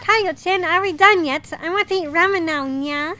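A woman's voice: very high voice, normal speed, pushed and bright, soft background music, voice pushed up. A woman voicing a hungry, perky catgirl schoolgirl in an anime visual novel, cutesy and whiny. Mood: impatient, whiny. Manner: whiny, cutesy, ends lines in nya.

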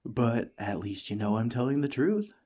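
A man voicing a cheerful, friendly alien parasite in a gentle, chatty ASMR roleplay, eager and reassuring. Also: medium pitch, very animated, normal speed. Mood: excited, curious. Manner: gentle, chatty, playfully earnest.

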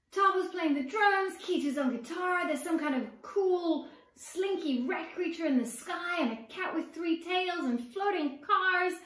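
A woman's voice: very high voice, distant mic. A woman in her forties with a South African accent reading a picture book aloud expressively, with questions to young listeners. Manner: expressive, addressing children with questions. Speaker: children's book author and reader. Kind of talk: storybook read-aloud with asides to young listeners. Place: indoor room with bookshelves and plants. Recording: roomy echo, away from the mic.

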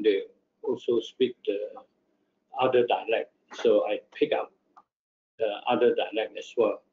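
Slow, hesitant older man on video call. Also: mid-range voice, warm tone. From Malaysia. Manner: hesitant, laughing, self-deprecating. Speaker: panelist, interpreter. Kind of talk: casual self-introduction on a video call. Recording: lo-fi echoey video call audio.

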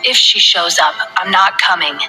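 A woman's voice: on the low side, normal speed, low-quality recording. A woman voicing a cold, rigid young rich man who speaks curtly, over faint music. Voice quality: rigid, flat.

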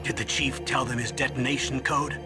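A man's voice: medium pitch, fairly even intonation, fairly quick, loud music behind the voice, natural voice. A man playing a grave, earnest older military commander in a video game, speaking with authority. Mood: concerned. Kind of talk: dramatic video game acting.

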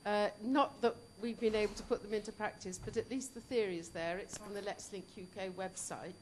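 A woman's voice: medium pitch, fairly even intonation, brisk, darkish tone, slight background noise. A young adult woman speaking at a conference panel table, answering a question with many fillers and restarts. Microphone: table microphone.

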